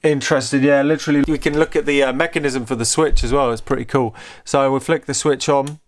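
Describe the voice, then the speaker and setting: A British man giving casual, excitable hands-on commentary as he tinkers with gadgets, thinking aloud informally. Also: medium pitch, fast talker. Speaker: host of a vintage technology museum channel.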